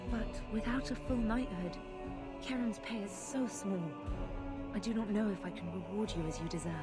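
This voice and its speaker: A woman's voice: medium pitch, normal speed, lightly acted. An actress playing an anxious, protective woman in a fantasy game, earnest and pleading. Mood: grateful, worried. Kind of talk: cinematic fantasy game acting. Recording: very loud music behind.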